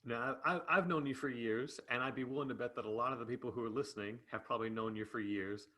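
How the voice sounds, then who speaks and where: A man's voice: mid-range voice, quick pace, pushed and bright. A man speaking as the host of an interview, introducing and questioning in a conversational way. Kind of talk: interview hosting.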